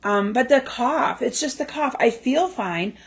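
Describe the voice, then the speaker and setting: Slightly raspy woman, chatty live host. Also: high-pitched, lively intonation, a little slow. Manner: chatty, lots of ums and restarts. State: slightly raspy from a lingering cough. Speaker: crafting livestream host. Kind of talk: casual live-stream chat. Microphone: livestream mic.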